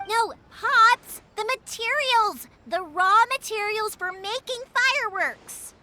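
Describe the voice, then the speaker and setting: Very high-voiced, upbeat young woman. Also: slow, pushed and bright, slight background noise, full character voice. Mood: insistent. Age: young adult. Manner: chatty, upbeat, reassuring. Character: bright, friendly young woman, fireworks maker, caring daughter. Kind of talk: video game voice acting. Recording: music under the voice.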